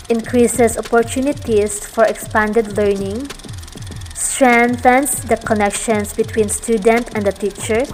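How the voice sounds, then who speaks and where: Woman reading formally at a slow pace. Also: a little high, rough recording. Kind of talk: scripted narration.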